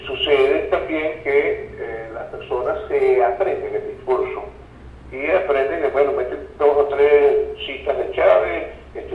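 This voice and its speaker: A Venezuelan man of medium pitch comes through a thin phone-like connection, distant and with some noise. He gives reflective interview answers, halting and deliberate, often repeating words for emphasis. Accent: Venezuelan Spanish. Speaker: interview guest, psychologist. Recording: tinny phone-like line, noisy, echoey, distant.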